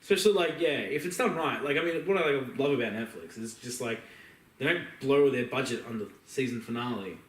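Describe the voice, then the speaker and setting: A man's voice: mid-range voice, expressive, fairly quick. Echoey, distant man chatting. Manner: rambling, conversational, slangy. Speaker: podcast co-host. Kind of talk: casual chat about film and games. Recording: roomy, echoey, distant mic.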